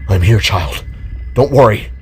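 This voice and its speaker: A man's voice: fairly low pitch, expressive, a little slow, slight background noise. Man acting a shaken, stammering male schoolteacher. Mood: reassuring, shaken. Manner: breathy gasps, stammering and repeating himself.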